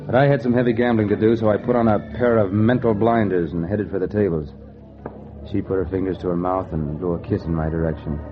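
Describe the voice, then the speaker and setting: An actor playing a cocky, money-hungry gambler in a 1940s radio noir, with clipped, wry, slangy delivery. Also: male voice, sits low, fairly even intonation, fast talker, lightly acted. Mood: wry, tense. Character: hard-boiled, cocky male gambler, broke and money-hungry. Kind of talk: 1940s radio noir acting, first-person narration. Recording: dull vintage radio recording with music. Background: music.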